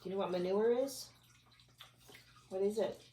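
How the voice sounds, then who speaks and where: A woman's voice: medium pitch, moderate pace, warm tone. A woman reading a children's storybook aloud expressively, chatting casually with a child between passages. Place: at home. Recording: echoey room, distant mic, background noise.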